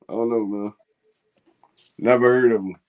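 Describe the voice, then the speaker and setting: A man's voice: lowish voice, steady tone, normal speed, a little mellow. A man, co-hosting a fan call-in show in casual, slangy chat and laughing often. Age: 20s.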